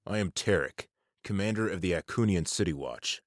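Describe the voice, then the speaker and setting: A man's voice: low-pitched, lively intonation, moderate pace, dry recording, clean studio sound. A man playing a large, mature, stern city watch commander in an audiobook, formal, guarded and terse. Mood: guarded, questioning. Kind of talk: audiobook character acting.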